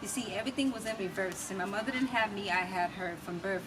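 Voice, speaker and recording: An American woman with a mid-range voice speaks as an interview guest and spoken-word poet. Her phrasing is expressive and rhythmic. She sounds set back from the microphone, with plenty of ambient noise around her. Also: medium pitch, fast. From United States. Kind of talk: casual interview talk with spoken-word poetry recitation. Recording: noisy, distant mic with ambient sound.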